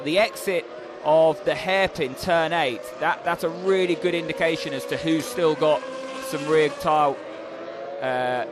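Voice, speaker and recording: Co-commentator explaining strategy, stumbles a bit, race cars roaring behind. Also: male voice, a little high, fairly even intonation, measured pace. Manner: explanatory, with frequent restarts and fillers. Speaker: co-commentator, expert analyst. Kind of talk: motorsport race analysis. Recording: voice over loud race-car noise.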